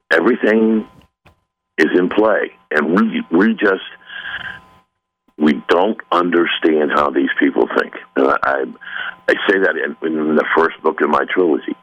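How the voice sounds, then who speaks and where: Very deep-voiced man with a sore throat. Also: a bit bright, highs cut off. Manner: earnest, halting with many restarts. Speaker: author guest. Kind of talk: phone-in interview.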